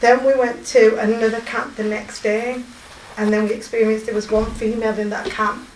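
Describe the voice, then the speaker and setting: A woman in her forties with a strong Yorkshire accent, telling of her volunteer work in a halting, emotional way with many pauses and fillers. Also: on the high side, level tone, echoey room, recorded from a distance, slight background noise, low-quality recording. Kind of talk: personal testimony.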